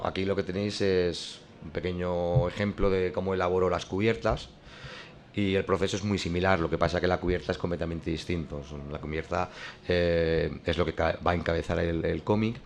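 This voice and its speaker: Man, very deep voice, gravelly, hesitant with restarts, explaining his art, faint background hiss. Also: steady tone, moderate pace. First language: not French. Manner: hesitant, many restarts and fillers. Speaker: comic artist. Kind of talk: explaining his drawing process.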